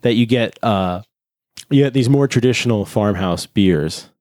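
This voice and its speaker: A man with a lowish voice talks in a loose, rambling way, full of fillers and offhand swearing. He gets nerdy and goes deep into detail. The sound is dry and close, with no room around it. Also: a little slow, darkish tone, no room sound. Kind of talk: casual chat.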